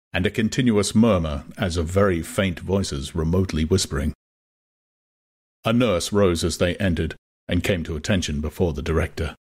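A man narrating a dramatized audiobook in a measured, literary manner. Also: low voice, breathy edge.

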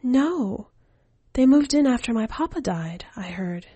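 A woman's voice: fairly high pitch, animated, unhurried, dark tone, husky, no echo, voice pushed up. A woman reader plays a stoic young boy in a storybook tale, older than his years. The voice lifts well above the narration and speaks tersely. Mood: flat, unsurprised.

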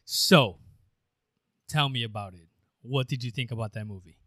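A man co-hosting a podcast in loose, rambling casual chat, quick to laugh. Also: mid-range voice, a bit bright, no room sound, clean studio sound. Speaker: podcast co-host.

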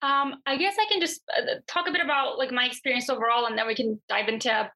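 Bright-voiced young woman from Turkey. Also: fairly high pitch, quick pace, poor audio. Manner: chatty and thoughtful, with frequent fillers and restarts. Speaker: guest, journalist. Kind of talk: conversational interview answers.

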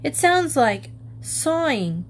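A woman's voice: sits high, big pitch swings, takes it slowly, pitched above the performer's own voice, exaggerated voice. A woman voicing a fretful young schoolboy in a storybook, whiny and exclaiming, on a noisy recording. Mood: alarmed, complaining. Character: fretful young schoolboy complaining about loud noises.

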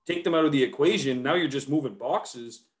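A man's voice: medium pitch, a little fast. A man co-hosting a podcast in casual chat, agreeing and then explaining his point in an engaged way. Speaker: podcast co-host.

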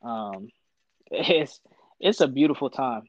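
A man in his twenties, born in Ethiopia, chatting casually as a podcast guest, slangy and quick to laugh. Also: mid-range voice, dry recording, highs cut off. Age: 20s. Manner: relaxed, slangy, laughs often. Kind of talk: casual chat.

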